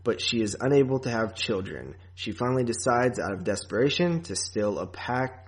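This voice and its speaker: A man co-hosting a podcast, talking casually about films in a rambling, halting way. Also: mid-range voice, very animated. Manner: rambling, halting, filler-heavy. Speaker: podcast co-host. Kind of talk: casual movie discussion.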